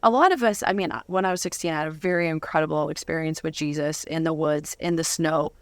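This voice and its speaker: A middle-aged woman hosting a casual interview in a playful, conversational way, quick to react. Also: low voice, steady tone, no echo. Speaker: show host.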